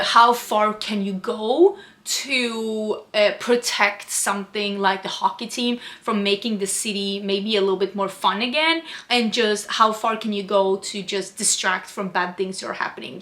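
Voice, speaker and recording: A woman's voice: mid-range voice, normal speed, bright, forceful tone. A young woman from Sweden, chatting casually and upbeat about books to camera, with lots of "like" fillers. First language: Swedish. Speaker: book vlogger. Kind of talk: casual book chat to camera.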